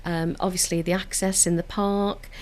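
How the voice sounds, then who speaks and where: A woman's voice: fairly low pitch, little pitch movement, moderate pace, darkish tone. A woman with a Liverpool accent, speaking in an earnest, enthusiastic way in a radio studio interview about community work. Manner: earnest, enthusiastic, with frequent filler restarts. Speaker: council community engagement officer.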